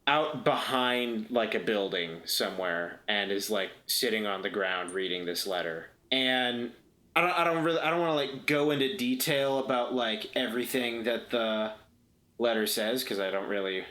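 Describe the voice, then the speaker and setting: Male roleplay podcast player, fairly low bright voice, hesitant casual scene-building with repeated words. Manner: halting, repeats words while thinking. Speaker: player on an actual play podcast. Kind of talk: improvised scene description in casual talk.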